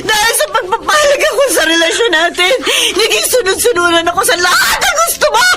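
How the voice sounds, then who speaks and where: A woman's voice: very high voice, sing-song, brisk, very noisy. An actress playing a defiant, anguished young woman, a scorned girlfriend, in an intense, melodramatic Filipino radio drama style. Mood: anguished fury. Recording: radio drama over loud music.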